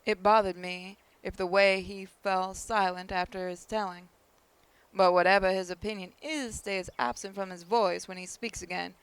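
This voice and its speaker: A woman reading visual novel narration aloud in a measured storytelling manner, with an occasional stumble. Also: mid-range voice, steady tone, clean tone, no room sound, poor audio. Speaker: narrator, video game player reading aloud.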